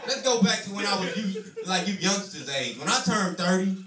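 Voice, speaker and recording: A man's voice: high-pitched, expressive, brisk. A man in his thirties from telling a loose, profane stand-up story, repeating "you know what I'm saying". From Detroit, USA. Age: 30s. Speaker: stand-up comedian. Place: small comedy club. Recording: roomy club sound from a distance, crowd noise.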